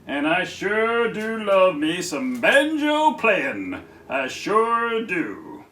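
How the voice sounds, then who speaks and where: A man playing an excitable, evasive puppet dragon in a children's storytime skit, theatrical and full of feigned innocence. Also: sits high, extremely slow, recorded from a distance, heavily acted. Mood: gleeful. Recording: echoey room, off the mic.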